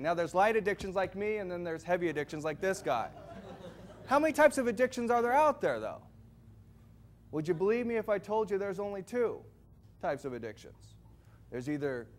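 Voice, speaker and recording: Man, high voice, loud projecting presenter, quizzes the crowd. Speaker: product presenter. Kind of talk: sales presentation to a live audience.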